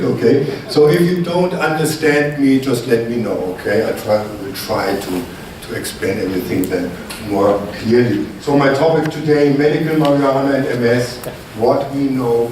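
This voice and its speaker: A man's voice: medium pitch, moderate pace, a little mellow, a little hiss or hum. A man from Germany with a strong German accent gives a conference lecture as a research scientist and keeps checking in with the audience with "okay?". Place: conference hall. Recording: distant mic in an echoey hall.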